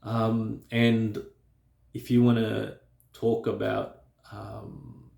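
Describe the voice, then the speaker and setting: A man with a stutter speaks slowly to camera, pausing and restarting often. His low voice is gravelly and mellow. The sound bounces around an echoey room. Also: flat delivery. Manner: slow and hesitant, with frequent fillers and restarts. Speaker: vlogger. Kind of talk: reflective personal talk to camera.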